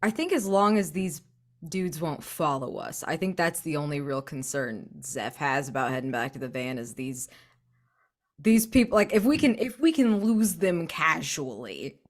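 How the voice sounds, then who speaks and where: A woman with a notably low voice takes part in a tabletop roleplaying game. She talks casually, moving between describing her character and speaking as them. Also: expressive, moderate pace.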